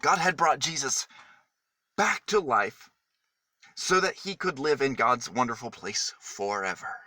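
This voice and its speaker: A man reading a children's story aloud in a dramatic, deliberate manner with emphatic pauses. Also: mid-range voice, lively intonation, pushed and bright, dry recording.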